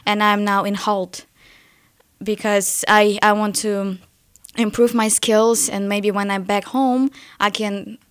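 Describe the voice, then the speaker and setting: A young woman, speaking as a guest in a radio studio. Her voice is smooth and clear. She chats eagerly, often breaking in with quick agreement. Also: medium pitch, little pitch movement. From Russia. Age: young adult. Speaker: graduate student guest. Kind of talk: casual interview.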